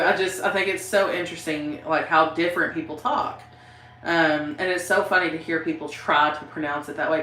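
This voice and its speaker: Low-voiced Southern woman chatting. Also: fairly bright tone, reverberant. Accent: Southern American (Kentucky). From southeastern Kentucky, USA. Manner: chatty and rambling, many "um" and "like", laughs often. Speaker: paranormal channel host reading live chat. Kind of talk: casual livestream chat.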